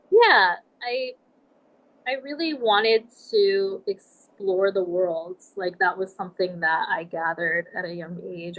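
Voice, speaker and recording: A woman in her forties from Nebraska, a podcast guest telling her life story in a reflective, measured way. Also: on the low side, rough recording. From United States, Nebraska. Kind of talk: casual interview talk.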